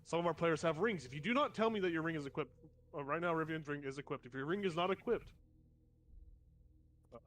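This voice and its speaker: A young man runs a tabletop game for his friends, explaining rules step by step with lots of "uh" and "so". He sounds a little stuffed up, as if a cold is coming on, and his voice sits slightly high for a man. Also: fast talker, pauses often, fairly bright tone, slight background noise. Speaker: game master.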